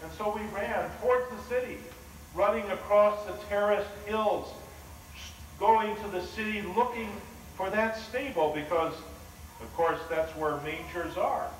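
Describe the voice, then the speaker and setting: A pastor playing an awed shepherd in a big church. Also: male voice, high voice, a little hiss or hum. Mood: awed storytelling. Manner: slow, storytelling, wondering. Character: humble shepherd recounting the angels' visit. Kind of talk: first-person dramatic sermon monologue. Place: large church sanctuary. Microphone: far video camera. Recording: roomy echoing church, distant camera.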